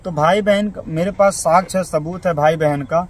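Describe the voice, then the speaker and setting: A man gives an interview in an aggrieved tone. His voice sits somewhat high for a man. There is faint ambient noise around him, as in a location recording. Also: moderate pace. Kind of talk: spoken interview, airing a grievance.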